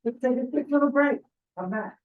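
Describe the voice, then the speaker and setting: A woman's voice: sits high, fairly quick, reverberant. A woman chatting casually and informally with people around her. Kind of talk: casual chat. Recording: thin, phone-like room recording.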